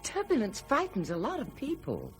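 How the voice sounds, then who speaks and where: An actress playing a discreet airline crew woman confiding carefully in a radio drama, with a measured manner. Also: fairly high pitch, huge pitch swings, faint music behind.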